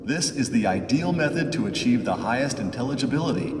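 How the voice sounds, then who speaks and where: Man narrating through a church loudspeaker. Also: mid-range voice, recorded from a distance, slight background noise. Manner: measured, even, promotional delivery. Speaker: product demo narrator. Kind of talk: scripted corporate narration. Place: large reverberant church. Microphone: room microphones at a distance from a loudspeaker. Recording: played back through a loudspeaker in a reverberant church.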